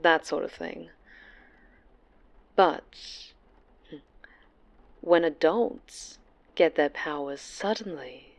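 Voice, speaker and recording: Woman acting as obsessed evil superhero lady, asmr horror, lowish voice, real slow, breathy and mock-sweet, creepy possessive. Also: full character voice. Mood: smug explaining, then quiet, threatening. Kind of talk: ASMR horror roleplay, dramatic and intimate.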